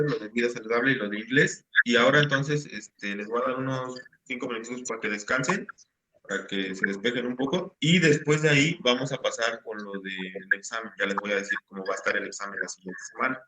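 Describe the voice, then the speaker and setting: A man's voice: mid-range voice, fast talker, noisy background. A man, patiently explaining assignments to his class over a video call. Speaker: teacher. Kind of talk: online class instruction.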